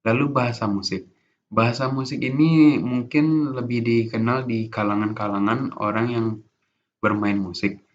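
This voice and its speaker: A young man giving an informal class presentation as a student, halting often with restarts and fillers. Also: mid-range voice, fairly even intonation. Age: young adult. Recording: dull lo-fi recording with faint noise.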